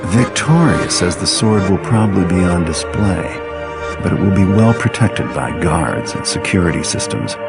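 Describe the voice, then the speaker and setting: A very low-voiced, cynical thief for hire narrates like a game mission briefing. He is dry, understated and sardonic, sure of himself, with loud music playing under his voice. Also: lively intonation, normal speed. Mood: wry, guarded. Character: cynical, self-assured male master thief for hire. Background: loud background music.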